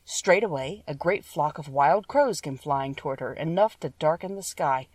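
A woman's voice: low voice, expressive, moderate pace, darkish tone, husky, no echo, distant mic. A woman reading a children's story aloud as a scripted audiobook narration.

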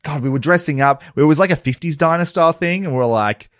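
A young man chatting on a podcast as a regular guest, telling an animated story and acting out his own reactions with frequent laughs. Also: a little high, fast, dry recording, clean studio sound. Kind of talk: casual podcast chat.

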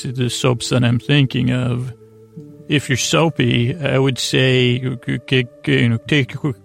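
A man with a creaky, gravelly voice hosts a bedtime podcast, rambling haltingly and interrupting himself as he digresses. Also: medium pitch. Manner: halting, digressive, with repeated stumbles and self-interruptions. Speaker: podcast host.

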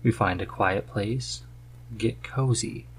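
A man's voice: fairly low pitch, slow pace, slightly rough. A man hosting a podcast in a casual, chatty style. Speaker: podcast host. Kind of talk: casual chat.

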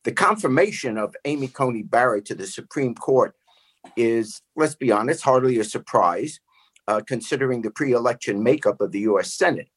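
A man hosting a podcast, delivering a measured, deliberate opinion piece. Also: mid-range voice, lively intonation, husky. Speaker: podcast host. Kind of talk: casual chat then commentary.

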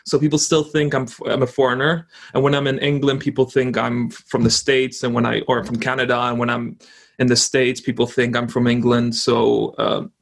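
Gravelly-voiced young man, halting. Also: medium pitch, level tone. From Poland. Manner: hesitant, frequent restarts. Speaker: guest. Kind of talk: casual conversation.

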